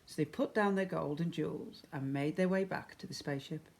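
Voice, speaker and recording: A man's voice: a little high, brisk, vocal fry. A man, a primary school teacher, reading a story expressively to young children.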